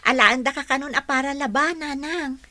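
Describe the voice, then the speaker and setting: A woman's voice: high voice, moderate pace, bright, forceful tone, no echo. A woman playing a dutiful, helpful young student daughter in a radio drama.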